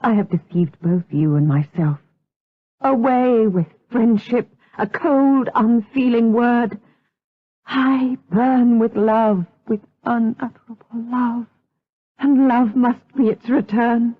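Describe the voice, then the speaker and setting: Woman acting a breathy, slow-speaking gothic seductress. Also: on the high side, no echo. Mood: impassioned pleading. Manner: melodramatic, slow, intense, formal archaic diction. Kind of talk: gothic radio drama acting. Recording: lo-fi, tinny 1980s audio drama recording.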